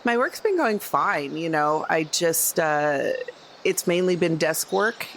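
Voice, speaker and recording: A woman's voice: sits low, lively intonation, slow pace, slight background noise. A woman chatting casually and informally as a player in a tabletop role-playing game.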